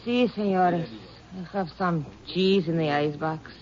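A woman's voice: lowish voice, darkish tone, natural voice. An actress playing a large, weary Mexican woman storekeeper in an old radio drama, with a Mexican accent and some Spanish mixed in. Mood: weary, complaining. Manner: slow, drawn-out, Spanish mixed in. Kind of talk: 1950s radio drama acting. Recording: muffled old radio recording.

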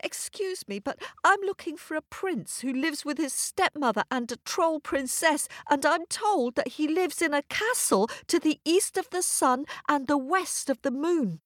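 A woman's voice: sits high, normal speed, hardly any pauses, no room sound, clean studio sound, pitched above the performer's own voice, natural voice. A woman voicing a timid young girl on a quest, who speaks eagerly and stammers breathlessly.

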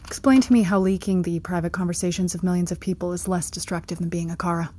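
An actress playing a sceptical, cutting interrogator who puts pointed, sardonic questions in an audio drama. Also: female voice, lowish voice, steady tone, fast talker, dark tone, slight background noise, natural voice. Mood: sardonic, challenging.

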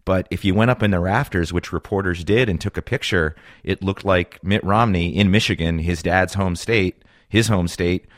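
A man's voice: deep voice, normal speed, few pauses, no echo, studio quality. A man with an American accent working as a radio correspondent, putting questions to a guest in a broadcast interview.

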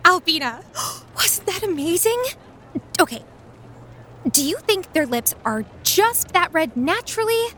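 An excitable adventurer, acted by a woman, with a high voice that leaps around as she chatters. She is animated and easily dazzled, with faint noisy ambience behind her. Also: unhurried, heavily acted. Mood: gushing, amazed. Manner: gushing, animated. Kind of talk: audio drama acting.

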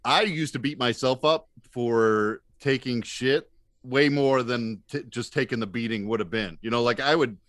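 A man's voice: mid-range voice, normal speed, a bit bright, no echo. A man chatting as a comedy podcast guest, wry and self-deprecating, acting out bits of dialogue as he tells stories. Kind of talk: casual comic storytelling chat.

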